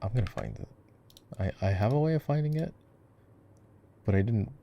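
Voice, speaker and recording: Male actor as gamer boyfriend, lowish pitch, creaky fry, casual, playful, rambling, self-interrupting, ASMR roleplay game tutorial. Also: animated, normal speed, highs cut off. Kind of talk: casual ASMR roleplay, chatty gaming commentary.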